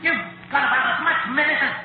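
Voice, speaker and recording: A raging, bullying film director screams scornful orders, his voice pitched extremely high. It rings with very heavy echo on a noisy old radio drama recording. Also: fast, vocal fry, phone-quality sound. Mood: furious. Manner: shouting, scornful, explosive. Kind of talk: 1940s radio drama acting. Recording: echoing, noisy, thin vintage radio recording.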